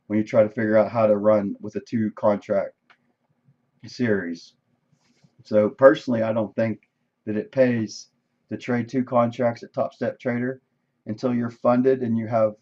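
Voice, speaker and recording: Hoarse male trader talking through charts. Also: on the low side, dark tone, dull audio. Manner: conversational, thinking aloud, frequent "right?". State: losing his voice, coughing. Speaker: day trader reviewing a live session. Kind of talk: casual screen-share trade recap. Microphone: desk microphone.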